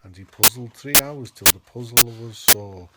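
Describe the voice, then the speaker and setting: A man's voice: medium pitch, normal speed, heavy background noise. A man reading a children's storybook aloud as a podcast host, in a narrating style.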